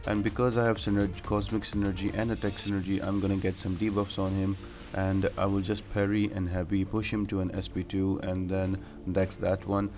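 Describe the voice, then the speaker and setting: Low, even-toned man explaining a game. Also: brisk, soft background music. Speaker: gaming guide host. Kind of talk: game walkthrough commentary.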